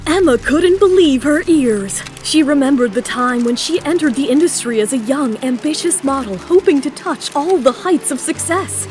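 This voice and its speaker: A woman's voice: high voice, expressive, moderate pace, few pauses, a little mellow. A woman reading dramatic scripted narration as the narrator of an audio drama. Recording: music behind the voice.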